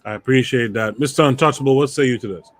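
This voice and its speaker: A male livestream host with a mid-pitched, even voice. He reads viewer comments aloud at a measured pace, then turns the question over to his guests. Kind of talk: livestream hosting, reading chat comments.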